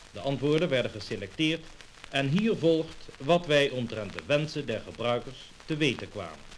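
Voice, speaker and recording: A man reading scripted mid-century promotional narration in a formal, measured way. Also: medium pitch. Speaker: narrator of an industrial promotional film. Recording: noisy old lacquer test disc.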